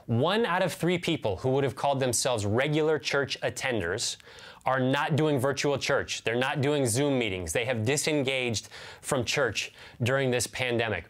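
A man's voice: medium pitch, normal speed, fairly bright tone. An American man in his thirties giving a sermon as a pastor, earnest and emphatic, repeating key phrases and asking rhetorical questions. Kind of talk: sermon, teaching to camera. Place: indoors, small room with bookshelves.

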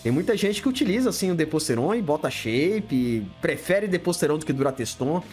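Brazilian man, 50s, live-stream host; blunt, lively pitch swings, faint music behind. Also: brisk. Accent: Brazilian Portuguese. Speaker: livestream host answering viewer questions. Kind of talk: casual live chat. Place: home studio room lit with colored LEDs.

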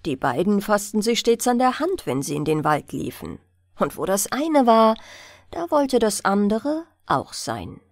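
A woman narrating a bedtime fairy-tale audiobook in an expressive storytelling style, close to the mic. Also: medium pitch, a little slow, no echo.

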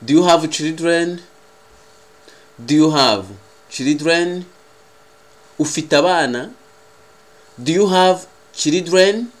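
Slow, higher-voiced Rwandan man teaching. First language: Kinyarwanda. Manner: deliberate, carefully enunciated. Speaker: English teacher. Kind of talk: language lesson, repeating phrases.

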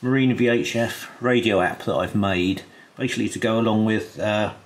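A man's voice: fairly low pitch, moderate pace. A man casually explaining a program he made, in an informal, hesitant way. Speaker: app developer demonstrating his program. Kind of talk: casual screen demo narration.

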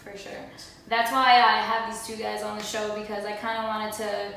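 A woman's voice: mid-range voice, little pitch movement, moderate pace, gravelly, a bit bright, slight background noise. A young woman hosting a casual podcast chat on a living room couch, talking loosely with lots of fillers. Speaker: podcast host. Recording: echoey room, far from mic.

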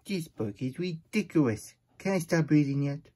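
A man's voice: a little high, expressive, moderate pace, a little mellow. A man voicing a silly, childlike plush hedgehog who reads storybooks aloud in babyish broken grammar and gets easily flustered. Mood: silly, muttering. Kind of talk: playful storybook read-aloud.

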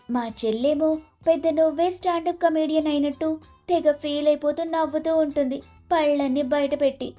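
A woman voicing a sharp-tongued, aggrieved young Telugu wife in an animated moral story. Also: sits high, lightly acted.

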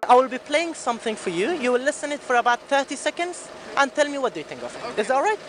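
A young man hosting a street interview, chatty and quick, murmuring agreement as others speak. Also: very high-pitched, very animated, loud music behind the voice. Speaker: host asking passers-by questions. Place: busy city street outdoors. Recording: busy street crowd behind. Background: passing crowd and traffic.

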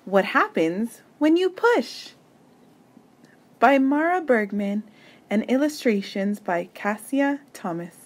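A woman reading a children's picture book aloud, with deliberate, dramatic phrasing and emphatic pauses. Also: a little high, lively intonation. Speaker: storybook reader.

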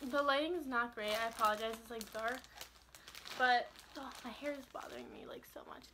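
A young woman with a somewhat high voice talks casually to her camera. She rambles and wanders into asides in the style of an informal vlog. The amateur bedroom recording carries faint noise. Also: fairly quick. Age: young adult. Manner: chatty, rambling, trailing off into asides. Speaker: vlogger. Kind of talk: casual chat to camera, showing shopping. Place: small bedroom, indoors.

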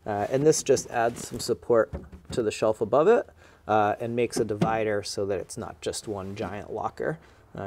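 A man in his thirties, a boatbuilder, explaining his work in a casual, relaxed way with frequent "uh" fillers, recorded in an indoor workshop. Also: fairly low pitch, a little hiss or hum. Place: indoor boat shop.

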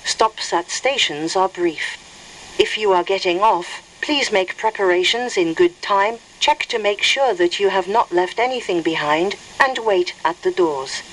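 Slow, measured woman train announcer. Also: medium pitch, fairly bright tone, rough recording. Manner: formal, careful, measured. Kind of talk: scripted station announcement. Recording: train speakers with faint noise. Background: train cabin hum.

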